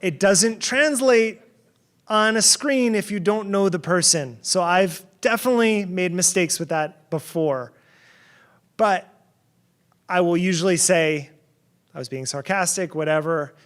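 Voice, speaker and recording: A man's voice: high voice, a little slow, a bit bright. A middle-aged man from New Jersey giving a conference keynote in a conversational way, with sarcastic asides and frequent restarts. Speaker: keynote speaker.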